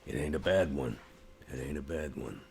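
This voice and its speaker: A man voicing a gruff, vengeful vampire in a tabletop roleplay game, with a folksy, menacing drawl and a gravelly voice. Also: a bass voice, normal speed, darkish tone, exaggerated voice. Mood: grim. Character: gruff vengeful male vampire named Max.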